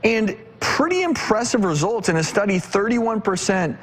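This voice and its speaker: A man hosting a health talk show, explaining things in a measured, presenterly way. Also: high-pitched, very animated, fairly bright tone. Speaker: TV show host.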